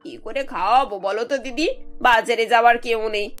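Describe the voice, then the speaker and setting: A cartoon older mother-in-law, acted by a woman with a fairly high voice over faint music. She is put-upon and sly, grumbling as if confiding in family. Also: fairly quick, fairly bright tone, close to an everyday voice. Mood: plaintive. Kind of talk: animated cartoon dialogue.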